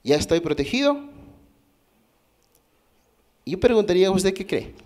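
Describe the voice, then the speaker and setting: A man's voice: sits high, big pitch swings, a little slow, darkish tone. Peruvian man giving a conference talk. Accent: Peruvian Spanish. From Peru. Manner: explanatory, asks the audience questions, frequent restarts. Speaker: conference speaker. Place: conference hall.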